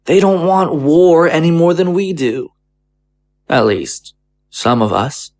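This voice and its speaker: A man's voice: fairly high pitch, lively intonation, slow, a little mellow. A man playing a conciliatory government official in an audio drama, arguing in a formal, articulate, persuasive manner. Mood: reassuring, pointed. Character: smooth-talking, conciliatory male government official, argumentative.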